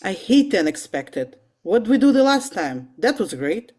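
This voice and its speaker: A woman's voice: mid-range voice, big pitch swings, moderate pace, mellow, breathy edge. A woman reading the part of a wry, guarded male paleontologist in an amateur table read of a film script, dryly and with some stumbles.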